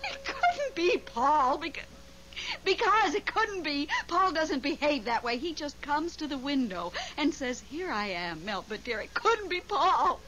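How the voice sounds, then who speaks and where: A nervous woman talking on the phone in a 1970s radio mystery. Her voice is high and very expressive, breaking into halting, anxious phrases. The recording is muffled, with clear background noise. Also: exaggerated voice. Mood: frightened. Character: nervous, jumpy woman confiding on the telephone. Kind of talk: 1970s radio drama acting. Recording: dull, noisy 1970s radio broadcast recording.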